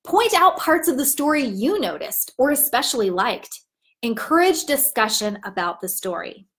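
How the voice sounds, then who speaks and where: Woman, higher-pitched voice, very animated intonation, teacherly, expressive, clear enunciation, instructional read-aloud style. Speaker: teacher trainer. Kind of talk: instructional presentation with modeled storybook read-aloud.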